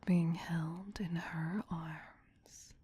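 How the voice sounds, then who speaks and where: A woman performs a possessive, doting vampire queen for an ASMR roleplay. She speaks in a very breathy, hushed whisper with a slow, lingering pace. Her coaxing endearments are soft and tender. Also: lowish voice, steady tone, heavily acted. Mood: tender, protective.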